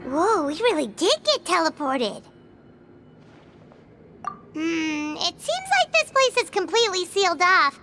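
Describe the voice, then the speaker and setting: A woman voicing a tiny, eager, curious childlike fairy companion in a video game, squeaky and exclamatory. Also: big pitch swings, unhurried, long pauses, noisy background, exaggerated voice. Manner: exclamatory, speaks of self in third person. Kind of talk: video game character dialogue.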